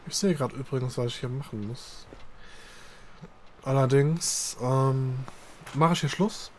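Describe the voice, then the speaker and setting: A man's voice: mid-range voice, unhurried, slight background noise. A man giving casual let's play commentary in a hesitant, understated way.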